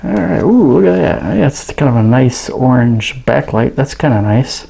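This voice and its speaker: A man giving a casual product review in a halting, understated way. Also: medium pitch, creaky, dark tone, breathy edge. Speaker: radio reviewer. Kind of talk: casual unboxing review narration.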